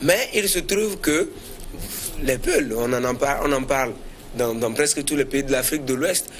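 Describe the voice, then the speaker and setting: A man's voice: medium pitch, normal speed. A man giving spontaneous commentary as a debate panelist, often hesitating and repeating words as he builds his argument. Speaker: panelist on a current affairs debate. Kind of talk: spontaneous political commentary in a discussion.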